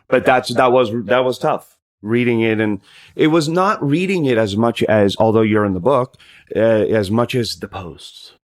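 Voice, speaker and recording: A man's voice: lowish voice, dry recording, clean studio sound. A man talking as a podcast guest, casual, earnest and emphatic, repeating himself to stress his point.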